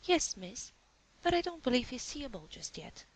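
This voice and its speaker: A woman's voice: high voice, sing-song, moderate pace, voice pushed up. A woman voicing a flustered young housemaid who chatters in homely country speech. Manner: chattering, homely dialect words.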